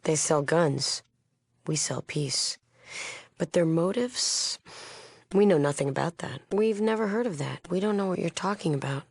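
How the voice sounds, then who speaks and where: Low serious woman wasteland leader, earnest but guarded, video game dialogue, dry close sound. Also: measured pace, gravelly, darkish tone, slightly rough, no echo, heavily acted. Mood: somber, explanatory. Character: solemn, idealistic woman leading a post-apocalyptic peace movement.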